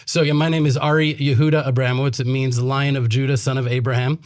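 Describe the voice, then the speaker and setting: A man's voice: medium pitch, flat delivery, fast, darkish tone. A man born in Texas and living in Israel, co-hosting a casual panel talk in an earnest, heartfelt manner and quoting Hebrew scripture. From born in Texas, USA, living in Israel. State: came straight from reserve duty. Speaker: co-host.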